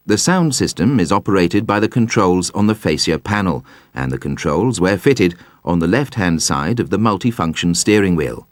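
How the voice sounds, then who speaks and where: A man with a very deep voice narrates a scripted instructional video. His pitch rises and falls in a lively way. He sounds measured and precise, and the recording is dry and close. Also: no room sound. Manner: measured, precise, explanatory delivery.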